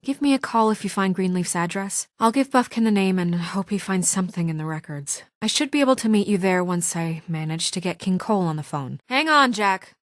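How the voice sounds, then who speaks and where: A woman acting as a young fairy-tale administrator in a video game, all business. She is matter-of-fact and pressing, with a natural mid-pitched voice. The sound is dry and close, with no room around it. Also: expressive, brisk, few pauses, no room sound, close to an everyday voice. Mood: businesslike, urgent.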